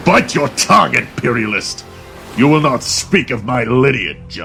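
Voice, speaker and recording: A man's voice: medium pitch, animated, a little slow, gravelly, bright, forceful tone, soft background music, full character voice. A man playing a proud, scornful undersea king in an audio drama, with a haughty, formal and threatening way of speaking. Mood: angry, menacing.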